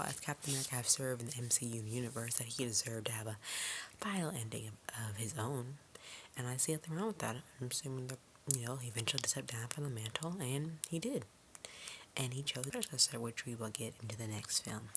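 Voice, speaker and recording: A woman giving a casual, rambling film review in an informal, chatty way, often interrupting herself with tangents. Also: very deep voice. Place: her room at home. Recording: clearly noisy home recording with clicks.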